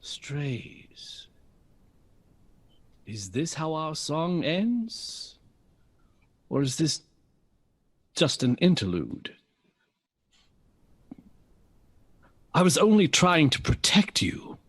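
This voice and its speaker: An actor in an audio drama playing a proud, defensive older bandleader and mentor, speaking in an emphatic, theatrical way. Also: male voice, fairly high pitch, big pitch swings, slow pace, long pauses, heavily acted. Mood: subdued, pleading.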